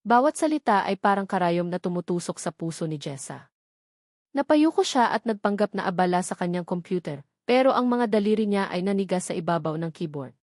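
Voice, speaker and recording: A woman reading a scripted romantic story in a measured, dramatic way. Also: fairly low pitch, lively intonation, a little mellow, no echo, studio quality. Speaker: narrator.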